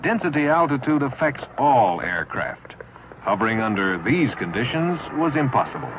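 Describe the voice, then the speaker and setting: A man narrating a 1960s training film from a script, with measured, dramatic pauses. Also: medium pitch, big pitch swings, background noise. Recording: muffled 1960s 16mm film soundtrack.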